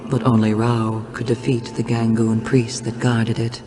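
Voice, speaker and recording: An actor playing a grave, measured storyteller narrating an ancient fantasy saga for a video game cutscene. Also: male voice, medium pitch, flat delivery, big-room sound, loud music behind the voice, natural voice. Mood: solemn.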